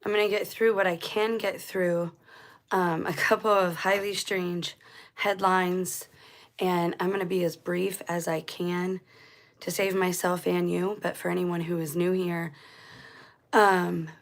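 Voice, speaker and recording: A woman's voice: lowish voice, little pitch movement, measured pace. A woman hosting her own commentary channel in a casual, rambling way, her voice a little scratchy and dry. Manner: rambling, self-correcting, conversational. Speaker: channel host.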